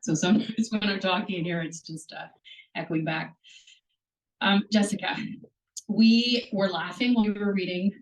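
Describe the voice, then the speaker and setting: A woman moderating a live bookstore reading, loud and chatty, laughs easily, speaking into a handheld mic. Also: medium pitch, fairly even intonation, normal speed, far from the mic, rough recording. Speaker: event moderator. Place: bookstore event room. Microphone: handheld microphone.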